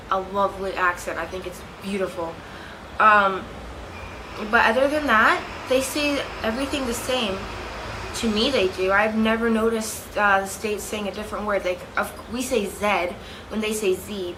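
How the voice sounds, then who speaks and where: A young woman with a Canadian accent chatting casually to her camera at home, rambling, correcting herself and giggling. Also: mid-range voice. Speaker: vlogger. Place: room at home with a fan running. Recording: steady fan noise behind.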